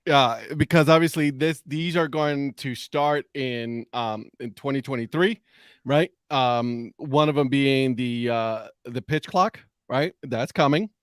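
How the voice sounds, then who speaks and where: A man's voice: medium pitch, unhurried, clear, resonant tone, no echo, clean studio sound. A man co-hosting a baseball talk show in a casual, conversational style. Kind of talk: casual chat.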